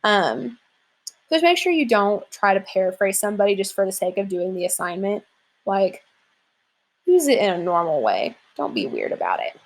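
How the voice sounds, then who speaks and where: Casual young female teacher, relaxed filler-heavy delivery, mid-range voice, explaining an assignment. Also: medium pitch, normal speed. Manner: casual, chatty, many "like" and "um" fillers. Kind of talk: classroom lesson walkthrough.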